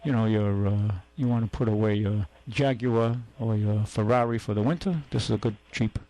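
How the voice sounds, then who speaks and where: Gravelly man chatting about cars. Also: on the low side, normal speed, warm tone, low-quality recording. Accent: New England. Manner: rambling, folksy, lots of fillers and restarts. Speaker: radio show host, car dealer. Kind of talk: casual radio chat.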